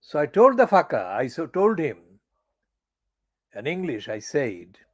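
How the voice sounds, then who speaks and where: Older male commentator, slow and emphatic, lively swooping pitch, profane and furious. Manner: slow, deliberate phrasing with stressed words. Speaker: channel editor giving commentary. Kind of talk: personal political monologue.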